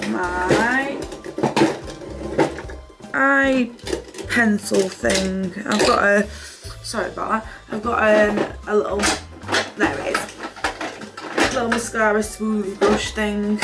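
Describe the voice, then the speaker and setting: A woman's voice: mid-range voice, takes it slowly, pauses often, over loud music. Chatty British woman, casual and rambling. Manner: rambling, self-deprecating, informal. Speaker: vlogger. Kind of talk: casual unscripted chatty get-ready-with-me.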